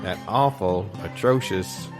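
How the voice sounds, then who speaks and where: Man playing a low-voiced folksy car-lot huckster. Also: slow pace. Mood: indignant, folksy. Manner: hard-selling, repetitive patter. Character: folksy, boastful male used-car dealer. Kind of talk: improvised parody radio commercial. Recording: guitar music behind the voice.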